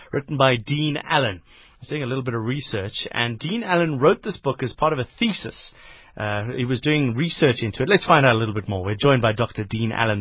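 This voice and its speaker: A man's voice: mid-range voice, no room sound, highs cut off. A man hosting a radio sports show, asking casual questions in a studio. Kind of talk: radio interview.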